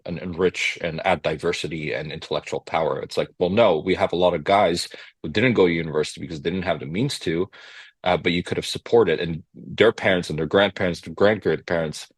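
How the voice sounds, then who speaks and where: A young man from Montreal whose first language is French, speaking as a podcast guest in casual conversation, animated and rapid, with frequent fillers and restarts. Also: low voice, husky, no room sound. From Montreal, Canada.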